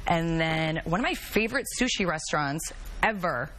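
A woman in her thirties from the Chicago area, a guest on a TV talk show, telling stories in a chatty, animated way on a studio set. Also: low-pitched, moderate pace, poor audio. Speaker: guest, travel and music blogger. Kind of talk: casual talk-show chat.

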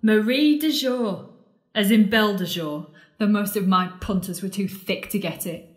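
A woman's voice: medium pitch, moderate pace, natural voice. An actress playing a bitter, scornful woman confronting her lost love in an audio drama, sardonic and accusing. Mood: angry, bitter. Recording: roomy, echoing space.

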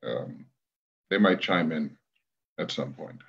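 Guest, middle-aged man, very low voice, measured and reflective, muffled call audio. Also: expressive, vocal fry. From Guyana, raised in Brooklyn. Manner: thoughtful, measured, with restarts. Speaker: guest, leadership trainer. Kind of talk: conversational interview. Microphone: video call.

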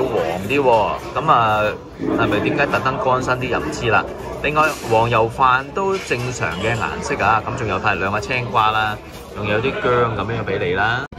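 A man giving a chatty, casual food review voice-over, musing out loud and mildly critical. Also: mid-range voice.

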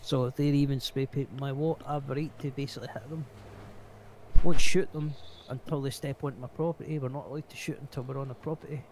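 A man's voice: mid-range voice, steady tone, fast talker, darkish tone. A man improvising as an aggrieved biker business owner, speaking bluntly with swearing in a roleplay voice chat. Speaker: roleplay game player. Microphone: gaming voice chat mic. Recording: noisy game voice chat.

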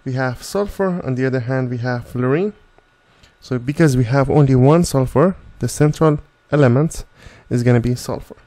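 Mellow-voiced man giving a tutorial. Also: mid-range voice, moderate pace. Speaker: chemistry tutor. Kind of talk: step-by-step tutorial narration.

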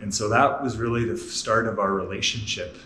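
Guest gym owner in his 30s, low-ish voice, echoey large indoor gym, faint hum behind. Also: brisk. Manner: relaxed, matter-of-fact, with frequent fillers. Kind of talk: casual storytelling on a walking tour.

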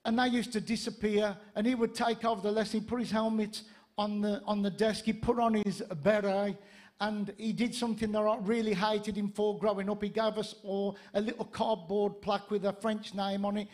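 A male pastor in his fifties with a north-east English accent speaks in a high-pitched voice. He preaches conversationally from a church stage, moving between humorous storytelling and repeated, emphatic points. He speaks into a handheld microphone. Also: flat delivery, moderate pace, darkish tone. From Gateshead, north-east England. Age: 50s. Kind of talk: sermon with humorous anecdotes.

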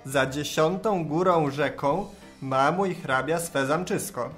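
An actor voicing a clever, bold talking cat with a courtly, smooth-tongued way in a children's rhymed audio tale. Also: mid-range voice, takes it slowly, fairly bright tone, soft background music. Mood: courtly, flattering. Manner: smooth-tongued rhyming oration.

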